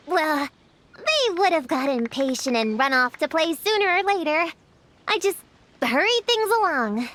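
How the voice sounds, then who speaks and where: A woman's voice: very high voice, big pitch swings, a little slow, a bit bright, slight background noise, exaggerated voice. A woman voicing a precocious, knowing child in a video game, articulate and matter-of-fact. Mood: knowing, dry.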